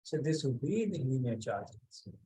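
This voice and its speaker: A man teaching a physics class online, speaking haltingly and calling on students by name to check that they follow. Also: medium pitch, sing-song, warm tone, poor audio.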